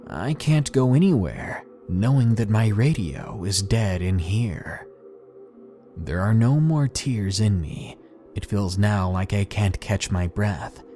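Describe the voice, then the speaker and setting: A man voicing a despairing school janitor writing his farewell note, speaking slowly and hushed, sometimes dropping to a whisper. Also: fairly low pitch, animated. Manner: slow, hushed, breaking into whispers. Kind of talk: dramatic horror story reading. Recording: soft music behind.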